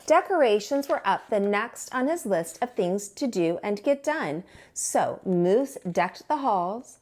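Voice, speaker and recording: A woman reading a picture book aloud as a teacher would at storytime, expressive, with theatrical drawn-out emphasis on words. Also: medium pitch.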